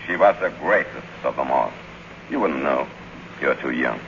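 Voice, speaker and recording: Low, gravelly, solemn manservant. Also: male voice, lively intonation, a bit bright, heavily acted. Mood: solemn, reverent. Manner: formal, measured, deferential. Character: solemn, devoted, formal older manservant. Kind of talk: 1950s radio drama acting. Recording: muffled, noisy 1951 radio recording.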